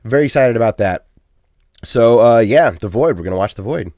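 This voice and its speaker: A man co-hosts a podcast in loose, casual chat. His pitch swings in a lively way as he rambles, filling gaps with ums and uhs. The sound is dry and close. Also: fairly quick, dry recording. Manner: self-deprecating, chatty. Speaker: podcast co-host.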